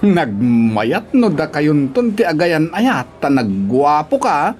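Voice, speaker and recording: A man's voice: a little high, very animated, slow, darkish tone, slight background noise. An actor with an Ilocano accent playing a teasing, mischievous, cocky male workmate in a radio-style drama.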